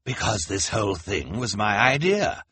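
A man voicing a vain, scheming male villain who talks like a theatrical showman, loud and gloating. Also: fairly low pitch, big pitch swings, moderate pace, husky, dry recording, pitched above the performer's own voice, exaggerated voice. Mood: smug.

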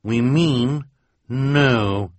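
A man's voice: mid-range voice, drawn-out words, no echo, pitched above the performer's own voice. A male narrator voicing a grim henchwoman who firmly refuses her boss. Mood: defiant. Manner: firm, defiant.

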